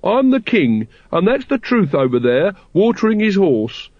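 Man doing a squeaky, blunt common cultist. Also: big pitch swings, measured pace, no room sound. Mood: scoffing. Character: dour, blunt working-class male cult member. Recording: dry, dull lo-fi recording.

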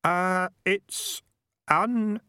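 A man's voice: high-pitched, expressive, slow, sharp tone, smooth, dry recording, clean studio sound, pitched above the performer's own voice, full character voice. A man voicing a guarded young boy who speaks hesitantly and literally in a storybook reading. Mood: guarded, puzzled.

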